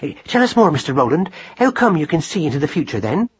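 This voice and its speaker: A man's voice: a little high, sing-song, fast talker, husky, lo-fi sound, voice pushed up, full character voice. A man voicing a small female mouse, a snappy, anxious mother with colloquial, ungrammatical speech. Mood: impatient, curious.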